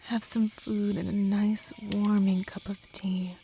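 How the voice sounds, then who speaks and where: A woman playing a kind, protective traveller in a gentle ASMR comfort roleplay, speaking softly with some whispering and reassuring slowly. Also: medium pitch, little pitch movement, creaky, slight background noise. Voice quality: soft, partly whispered. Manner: gentle, slow, soothing, reassuring.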